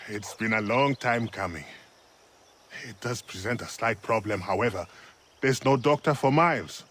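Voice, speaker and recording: A man's voice: lowish voice, normal speed, fairly bright tone, slight background noise, close to an everyday voice. A man playing an informal, good-natured frontier husband and brawler in a video game. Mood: matter-of-fact. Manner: ribbing, informal. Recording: faint insect and outdoor ambience behind.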